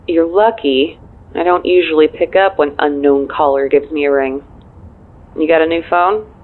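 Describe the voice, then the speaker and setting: An actress playing a dryly wry, efficient and loyal assistant in an audio drama, speaking through a phone filter with a deadpan manner. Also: female voice, low-pitched, measured pace, long pauses. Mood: businesslike, concerned. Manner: deadpan, dry. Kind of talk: naturalistic audio drama acting. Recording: thin narrow phone-line sound.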